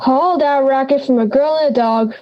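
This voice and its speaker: A woman's voice: sits high, moderate pace, lo-fi sound, lightly acted. A young woman playing a cranky, forgetful old granny in an audio drama, brusque, sarcastic and theatrical. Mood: irritated. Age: young adult.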